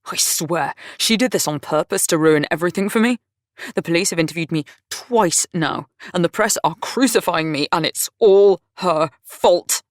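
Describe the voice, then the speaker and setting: A woman voicing a volatile, self-pitying man who rants and complains loudly. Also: lowish voice, moderate pace, no echo, clean studio sound, lightly acted. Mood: angry ranting. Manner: ranting, raised and strained.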